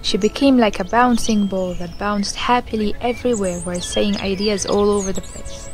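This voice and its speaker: A woman's voice: medium pitch, fairly even intonation, normal speed, over music. A woman narrator reading a scripted bedtime story for children. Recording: clear background noise with birdsong. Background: birdsong.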